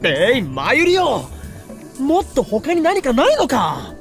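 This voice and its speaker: A man's voice: extremely high pitch, wildly swooping pitch, moderate pace, faint music behind, full character voice. A man voicing a dramatic, bossy young mad scientist with a theatrical, demanding delivery in an anime visual novel. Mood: exasperated.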